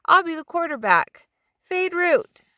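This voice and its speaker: Woman playing eager, boisterous young boy, high-pitched. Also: very animated, measured pace, bright, forceful tone, full character voice. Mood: excited, calling out. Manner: calling out in short bursts.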